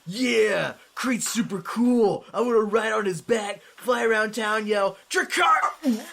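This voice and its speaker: A woman playing an excitable, outspoken diner worker in a comic audio drama. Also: mid-range voice, slow pace, creaky. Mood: excited.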